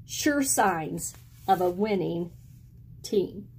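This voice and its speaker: Slow, mellow middle-aged woman reading to children. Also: lowish voice, lively intonation, slight background noise, low-quality recording. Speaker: storyteller reading a picture book aloud. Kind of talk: expressive children's read-aloud. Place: small indoor room at home with bookshelves.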